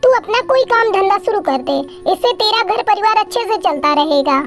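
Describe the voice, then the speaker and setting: A woman's voice: very high-pitched, animated, normal speed, faint music behind. A woman voicing a scheming, bossy mother-in-law sparrow in a children's cartoon, speaking with familiar authority. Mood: advising. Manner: advising, addresses her daughter-in-law familiarly. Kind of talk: Hindi children's cartoon acting.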